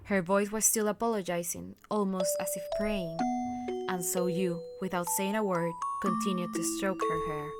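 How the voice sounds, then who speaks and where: A woman reading a visual novel aloud as a storyteller, with expressive narration. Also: fairly low pitch, moderate pace. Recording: loud music behind the voice. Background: game music.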